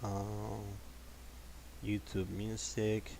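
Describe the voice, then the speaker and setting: A man with a deep voice hosting a live trading stream, talking in a calm, laid-back, casual way. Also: extremely slow, gravelly, slight background noise. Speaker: host of a live trading session. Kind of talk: casual livestream market commentary.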